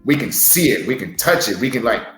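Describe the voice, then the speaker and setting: A man's voice: mid-range voice, very animated, fairly quick, a bit bright, echoey room, soft background music, low-quality recording. A man who wrestles, co-hosting a podcast and telling a motivational story in an earnest, heartfelt way. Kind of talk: casual podcast chat.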